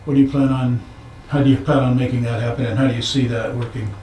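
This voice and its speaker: A man's voice: medium pitch, level tone, quick pace, dark tone, slight background noise. A man hosting an informal interview, with a folksy, easygoing way of phrasing questions. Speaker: interviewer, show host. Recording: echoey room.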